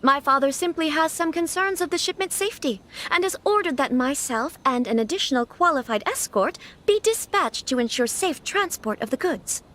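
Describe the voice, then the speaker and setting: A woman's voice: sits high, lively intonation, normal speed, hardly any pauses, a bit bright. A woman playing a young noblewoman in a video game; she speaks formally and courteously, with small nervous stammers now and then. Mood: earnest.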